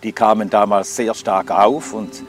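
A man's voice: fairly low pitch, steady tone, measured pace, faint music behind. Older man telling his story. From Scandinavia. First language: Swedish. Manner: reflective, hesitant with frequent fillers. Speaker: testimony speaker. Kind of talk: personal life testimony.

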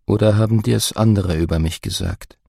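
A man voicing a deep, gravelly, solemn Jesus. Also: dark tone, no echo, clean studio sound. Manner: slow, solemn, deep measured delivery.

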